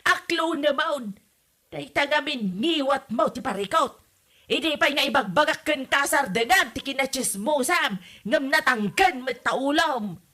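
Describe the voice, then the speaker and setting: A woman's voice: high-pitched, animated, measured pace, sharp tone, exaggerated voice. A woman with an Ilocano accent playing a stubborn, meddling, disapproving mother in a comedy drama, scolding and exclaiming as she talks. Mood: stubborn, complaining. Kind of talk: comedy radio-style drama acting.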